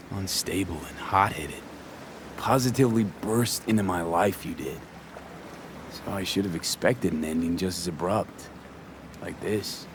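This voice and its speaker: Streetwise male mercenary, low voice, brooding but sincere, casual clipped delivery, video game drama, noisy background. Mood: bitter, sad. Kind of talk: naturalistic video game voice acting.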